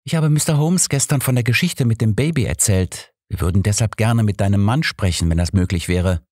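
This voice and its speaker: A man voicing a caring, observant woman in an audiobook, gentle and polite. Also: lowish voice, fairly quick, no echo, studio quality, pitched above the performer's own voice, lightly acted. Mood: friendly. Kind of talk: audiobook character dialogue.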